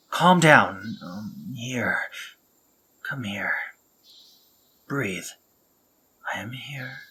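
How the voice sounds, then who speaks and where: A young man playing a remorseful, protective male spider monster in an audio roleplay, talking haltingly with stammers and soft whispers. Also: on the low side, a little hiss or hum, poor audio. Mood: soothing.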